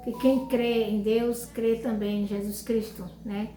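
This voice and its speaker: An older woman giving a religious testimony, speaking slowly and fervently, with pauses and repetitions. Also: on the high side, little pitch movement, warm tone, soft background music. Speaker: lay preacher giving testimony. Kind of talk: informal religious testimony and Bible reading. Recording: echoey room, faint ambient noise.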